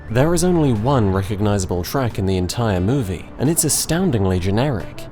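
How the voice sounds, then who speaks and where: A man reading a scripted critique as a guest narrator, in a measured, critical manner. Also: fairly low pitch, lively intonation, over music. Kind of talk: scripted video essay narration.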